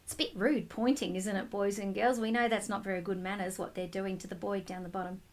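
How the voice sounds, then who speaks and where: A woman reading a picture book aloud to children, expressive and speaking to them directly. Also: mid-range voice, brisk. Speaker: school storytime reader.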